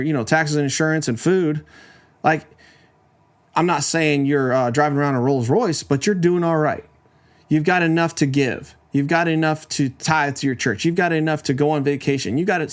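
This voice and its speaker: Man, 40s, podcast host; casual explainer, conversational, rhetorical questions. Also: on the high side.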